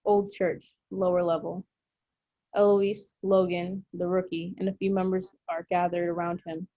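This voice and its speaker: A woman's voice: fairly low pitch, flat delivery, unhurried, dry recording. A woman reading stage directions as scripted narration at a drama table read. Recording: dry, muffled lo-fi audio.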